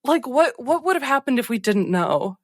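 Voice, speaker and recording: A woman's voice: sits high, big pitch swings, normal speed, no echo, studio quality. A woman who plays in a tabletop game, chatting casually about the session. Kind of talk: casual post-game chat.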